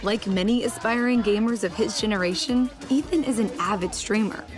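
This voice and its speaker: A woman's voice: medium pitch, a little fast. A woman reading scripted documentary narration in the role of narrator. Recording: music behind the voice.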